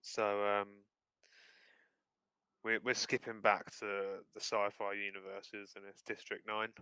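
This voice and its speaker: A young man joining a casual film podcast as a guest over a video call, laid-back and quick to laugh, with casual slang. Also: fairly low pitch, flat delivery, sharp tone, clean tone, dry recording, poor audio. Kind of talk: casual chat.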